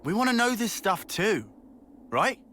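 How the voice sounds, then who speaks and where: High, animated brash young fighter. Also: male voice, unhurried, slight background noise, full character voice. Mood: pushy. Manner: brash, informal. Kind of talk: video game cutscene acting.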